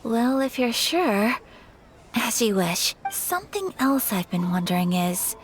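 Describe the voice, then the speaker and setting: A woman playing a gracious, modest young noblewoman in a video game, speaking politely and formally in measured phrases. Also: on the high side, very animated, husky, full character voice, rough recording. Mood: gentle, curious.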